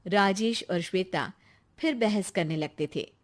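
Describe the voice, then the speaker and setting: A woman's voice: mid-range voice, unhurried. A woman reading scripted narration for a moral story.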